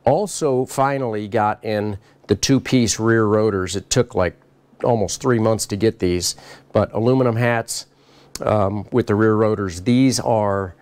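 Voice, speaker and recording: A middle-aged man giving a casual walk-around tour of his race car shop, explaining parts in a relaxed, practical way. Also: on the low side, unhurried. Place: large garage workshop, indoors.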